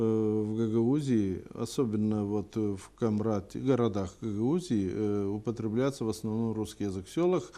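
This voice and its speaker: A man giving unscripted interview answers as a TV guest, speaking in a halting, deliberate way. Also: lowish voice, mellow. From Gagauzia, Moldova. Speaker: TV programme guest.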